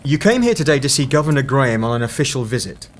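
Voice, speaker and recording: A man playing a curt, grave, mysterious time investigator who explains deliberately in an audio drama. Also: mid-range voice, big pitch swings, close to an everyday voice. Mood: grave, explaining. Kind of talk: fan-made audio drama acting.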